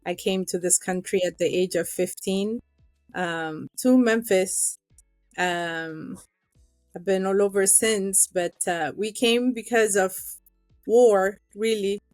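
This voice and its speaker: A woman's voice: medium pitch, takes it slowly, dry recording, faint music behind. A middle-aged woman from Ethiopia, a guest telling her own story in an interview, earnest and emotional, with many fillers.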